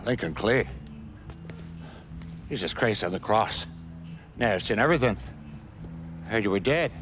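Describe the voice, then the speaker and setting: An actor playing a bitter, foul-mouthed Irish mobster in a video game cutscene, sneering and profane, with an Irish accent. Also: male voice, lowish voice, expressive, normal speed, a bit bright. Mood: mocking surprise. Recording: music behind the voice. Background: music.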